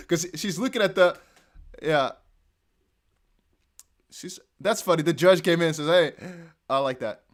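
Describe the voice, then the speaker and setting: A man with a Dutch accent, a native Dutch speaker, giving chatty, excitable live commentary on a court hearing. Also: fairly high pitch, measured pace, pauses often. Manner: excitable, chatty, laughs often. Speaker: crypto news streamer.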